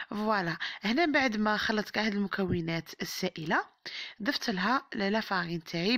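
A woman with an Algerian Arabic accent and a hoarse voice, chatting to viewers as she narrates a home baking tutorial. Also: medium pitch, no echo. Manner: chatty, warm-hearted blessings and advice to viewers. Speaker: cooking channel host. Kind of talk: home cooking tutorial voice-over.

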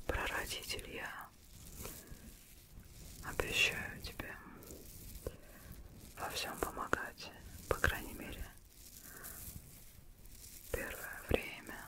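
Victorian vampiress played by a young woman, ASMR: close breathy whisper, unhurried, gentle, nurturing elder. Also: natural voice. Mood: soothing, attentive. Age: young adult. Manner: slow, soothing, gentle. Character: caring Victorian female vampire, elder mentor to a fledgling. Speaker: ASMR performer. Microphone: close microphone.

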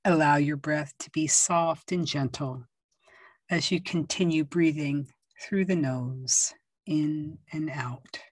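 A woman leading a yoga nidra meditation, speaking softly and soothingly with long pauses. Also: low-pitched, dry recording. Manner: slow, soft and soothing, with long pauses and gentle instructions. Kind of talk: guided meditation.

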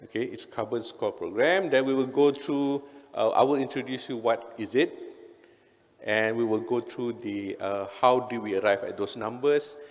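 A man's voice: medium pitch, normal speed. A middle-aged man with a Malaysian accent giving an informal, conversational presentation to an audience, with frequent tag words. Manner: conversational, frequent "right?" and "okay" tags. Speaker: conference speaker, engineer. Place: indoor hotel event hall on stage. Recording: reverberant hall PA sound.

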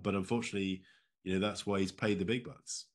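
A man's voice: low voice, steady tone, fairly quick. A middle-aged man with a British accent giving conversational answers as an interview guest on a video call. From United Kingdom. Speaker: interview guest, former diplomat. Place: indoor room at home, video call.

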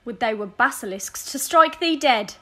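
A woman's voice: a little high, lively intonation, moderate pace, bright, forceful tone. A young woman playing a bitter, vengeful noble widow in a verse audio drama, speaking with scorn. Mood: scornful. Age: young adult. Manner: scornful, cursing. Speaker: student actor.

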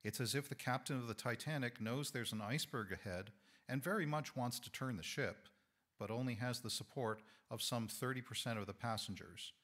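A man in his sixties giving a measured, earnest sermon-style talk to a congregation, on a lapel mic in an indoor hall. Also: medium pitch, a bit bright. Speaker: guest speaker.

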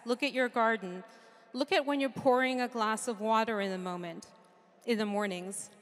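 A middle-aged woman from Japan giving a conference keynote into a handheld mic, earnest and lightly humorous, listing ideas in rhythmic runs. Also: on the high side. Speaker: keynote speaker. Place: large conference stage with audience. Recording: long hall reverb from a big venue.

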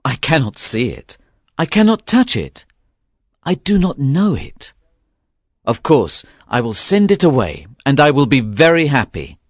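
A woman doing a young fisherman's deep voice. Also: big pitch swings, measured pace, no echo, studio quality, exaggerated voice. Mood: lovestruck. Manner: lowered voice, plain and earnest. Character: young male fisherman, simple and eager.

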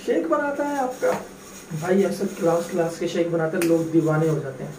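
A man's voice: fairly high pitch, sing-song, a little fast, mellow. A young man chatting casually to viewers while making a shake in his kitchen and waiting at a drive-through. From Pakistan. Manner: easygoing, chatty, addresses viewers as brother. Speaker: vlogger. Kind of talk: casual vlog chat. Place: home kitchen, then a car in a drive-through. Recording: noisy handheld phone audio.

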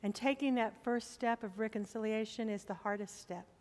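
A middle-aged woman pastor preaching a sermon from the pulpit of a church, with slow, emphatic appeals to the congregation. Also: fairly high pitch, darkish tone, in a large hall.

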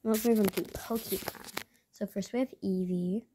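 A woman's voice: mid-range voice, fairly even intonation, normal speed, noisy background. A young woman, chatting casually in her bedroom at night while showing plush toys to a handheld camera, rambling with many fillers and dropping into whispers. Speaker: vlogger.

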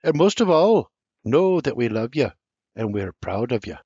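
A man voicing an emotional, fierce farm mother in a high put-on voice with dialect speech. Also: big pitch swings, normal speed, dark tone, husky, no room sound. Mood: tender. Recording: dull lo-fi dry recording.

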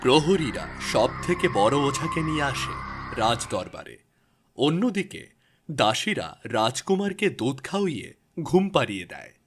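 A man's voice: mid-range voice, very animated, normal speed, husky. A man narrating a scripted fairy tale for a cartoon, with music behind him. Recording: loud music bed behind voice.

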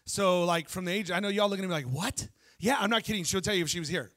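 A man in his thirties preaching a sermon on a church stage into a handheld mic, telling lively stories and asking the congregation questions. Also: high-pitched, fast talker. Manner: animated storytelling preacher, jokes and asks the crowd questions. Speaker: pastor.

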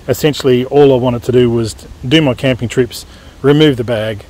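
A relaxed man explains his camping gear in a casual, unhurried way, stopping for ums and uhs. He sits at a middle pitch. A faint outdoor hiss sits under his voice from a camera held close. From Australia. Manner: relaxed, plain-spoken, explaining with fillers. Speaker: motorcycle vlogger. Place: outdoors on dirt beside a motorbike.

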